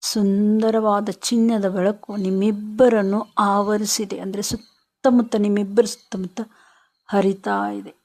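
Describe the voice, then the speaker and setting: Female meditation guide, very unhurried, soothing, mid-pitched, short phrases with pauses. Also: flat delivery, darkish tone.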